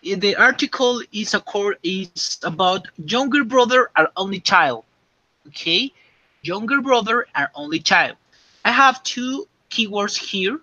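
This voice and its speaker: A man teaching English, dictating slowly and checking often that students understand. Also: sits high, animated, sharp tone, dry recording. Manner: slow and repetitive, checking understanding. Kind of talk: classroom dictation lesson. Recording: dull lo-fi classroom recording.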